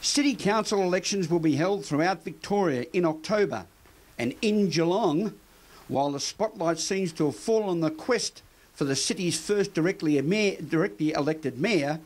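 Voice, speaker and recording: A man's voice: on the high side, darkish tone. A man with an Australian accent reading scripted local news as a presenter.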